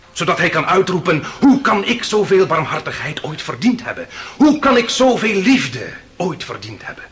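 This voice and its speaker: Radio play defence lawyer: high male voice, very animated, rhetorical and impassioned. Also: a little hiss or hum. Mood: imploring. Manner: rhetorical, declamatory, emphatic. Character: impassioned defence lawyer, grandly appealing to a jury. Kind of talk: Dutch radio play acting, courtroom oratory.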